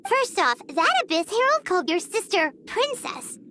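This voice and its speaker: A woman voicing a tiny, excitable, inquisitive childlike fairy companion in an animated video game style. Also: moderate pace, a little hiss or hum, heavily acted. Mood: consoling, thoughtful. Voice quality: squeaky, childlike. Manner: exclamatory, refers to self by name. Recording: loud game music behind the voice.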